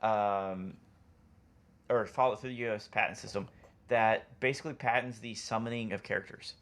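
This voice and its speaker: A man's voice: fairly low pitch, fairly even intonation, normal speed, fairly bright tone. A man co-hosting a podcast, chatting casually about video games and explaining things in a hesitant way. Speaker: podcast co-host.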